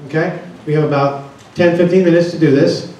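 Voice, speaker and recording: A man's voice: on the high side, fairly even intonation, fairly quick, darkish tone. Joking male presenter in an echoey conference room. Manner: chatty, joking with the audience. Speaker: workshop presenter. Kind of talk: interactive seminar talk. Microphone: handheld mic, far camera. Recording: echoey room, distant camera.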